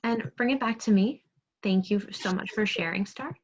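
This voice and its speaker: A woman teaching second grade over a video call, reading aloud, giving clear instructions and prompting pupils with questions. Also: mid-range voice, moderate pace. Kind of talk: interactive read-aloud lesson on video call. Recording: dull, muffled video call audio.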